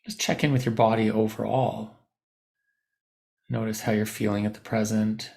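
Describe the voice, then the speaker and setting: A man leading a guided meditation, giving gentle, unscripted instructions with softened, informal phrasing. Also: lowish voice, level tone, moderate pace, creaky.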